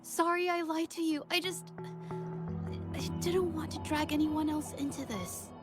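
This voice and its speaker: A woman's voice: sits high, steady tone, unhurried, loud music behind the voice. A woman playing a shy, earnest young artist in a video game, speaking in a hesitant, apologetic way.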